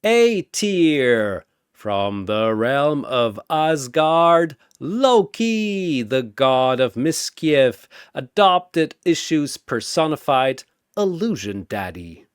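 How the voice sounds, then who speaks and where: A man's voice: mid-range voice, big pitch swings, drawn-out words, clean tone, no room sound, clean studio sound. Man putting on a grandiose, swooping arena announcer god. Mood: booming, hyped. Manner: grandiose, theatrical. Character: flamboyant four-armed godlike arena announcer, sardonic showman. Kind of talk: ring-announcer fighter introductions.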